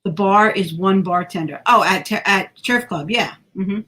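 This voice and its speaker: A woman's voice: mid-range voice, normal speed, pushed and bright. A woman co-hosting a podcast in casual chat, talkative and self-deprecating, sometimes tripping over her words. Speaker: podcast co-host.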